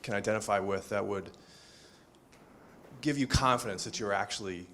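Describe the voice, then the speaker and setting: A man in the audience with a big, loud voice asks a question from away from the mic. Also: lowish voice, normal speed, a little hiss or hum. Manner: loud, says he has a big voice. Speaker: audience member asking a question.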